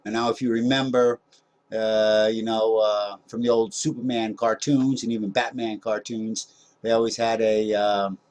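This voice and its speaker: A man casually narrating a screen-recorded software tutorial, rambling with many fillers and small jokes about himself. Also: lowish voice, flat delivery, moderate pace. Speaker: tutorial host.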